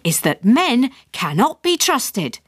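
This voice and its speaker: A woman voicing an anxious, brisk, long-suffering middle-class mother in an audiobook story. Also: a little high, sing-song, slightly rough, dry recording, clean studio sound, pitched above the performer's own voice, heavily acted. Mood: sharp, muttering.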